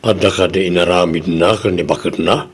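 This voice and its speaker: A man playing a blunt buddy who teases and admonishes in an audio drama, with a gravelly, husky voice and an Ilocano accent. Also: low voice, steady tone, a little fast. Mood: admonishing.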